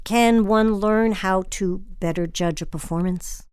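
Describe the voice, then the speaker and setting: A woman hosting a radio show, speaking with deliberate, measured phrasing as she interviews and announces. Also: medium pitch, darkish tone, clear, resonant tone, no echo, clean studio sound.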